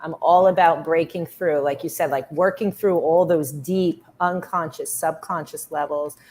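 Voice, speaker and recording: A woman's voice: low voice, fairly even intonation, normal speed, darkish tone, slight background noise. A woman guest on a podcast, a spiritual healer speaking earnestly about her work. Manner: earnest, emphatic repetition. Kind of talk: casual interview.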